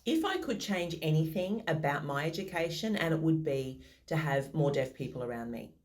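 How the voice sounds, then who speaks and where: Low-voiced modest woman answering. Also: animated, moderate pace, darkish tone. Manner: modest, thoughtful, some restarts. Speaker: interviewee. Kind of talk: reflective interview answers. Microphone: video call.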